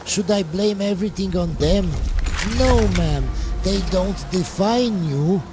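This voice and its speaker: A man's voice: high voice, slow pace, music in the background. A man streaming and reacting to videos, giving blunt, scornful commentary in a casual way.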